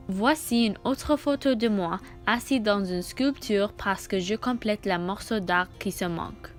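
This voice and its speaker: Jeune femme, voix off de projet scolaire, lecture appliquée, musique discrète derrière. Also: female voice, medium pitch. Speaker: student presenting a school project. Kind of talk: scripted voice-over narration.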